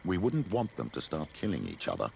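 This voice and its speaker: An actor playing a gruff, level-headed companion in a video game cutscene, judicious and cautioning. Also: male voice, low voice, wildly swooping pitch, a little fast, a little mellow, slight background noise, exaggerated voice.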